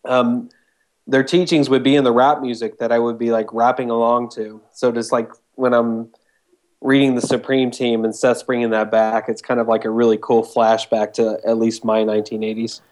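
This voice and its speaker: A man in his forties with a mid-pitched voice, talking as a guest in a loose, eager and conversational way. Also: little pitch movement, normal speed, a little mellow. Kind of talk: casual chat.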